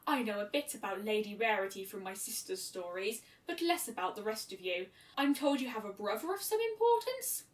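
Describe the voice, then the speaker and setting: A woman voicing an ancient, gentle pony princess in a fan fiction story, speaking formally and measuredly. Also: mid-range voice, sing-song, fairly quick, few pauses, lightly acted. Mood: gracious. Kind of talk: amateur fan fiction reading. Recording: roomy echo, distant microphone.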